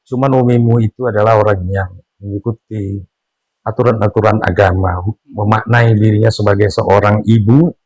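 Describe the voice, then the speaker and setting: A man in his sixties with an Indonesian accent, an interviewed father speaking earnestly about his faith and family, pausing with fillers and rising on emphatic points. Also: lowish voice, fairly even intonation, a little mellow, dry recording. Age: 60s. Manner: earnest, preachy, pauses with fillers, rises emphatically on key points. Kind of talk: casual family conversation.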